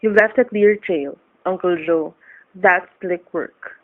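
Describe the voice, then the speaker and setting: A man's voice: high voice, slow, no echo, narrow, tinny audio. An actor playing a breezy, slangy young policeman in a vintage radio thriller. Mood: pleased, wry. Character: brisk, admiring young policeman nephew. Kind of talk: vintage radio drama acting. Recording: thin dry old radio recording.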